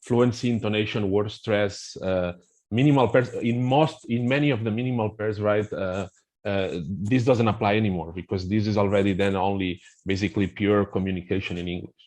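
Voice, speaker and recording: Low-voiced man with a Spanish accent. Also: fairly even intonation, a little fast, darkish tone. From Spain. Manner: hesitant, many fillers and restarts. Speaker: language app representative, guest.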